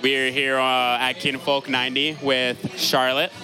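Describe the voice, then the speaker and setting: Male podcast host, mid-pitched and easygoing, short affirmations; loud DJ music behind. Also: a little slow. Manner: relaxed, affirming, brief interjections. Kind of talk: casual interview. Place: busy venue with a DJ playing music. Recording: live event with music behind.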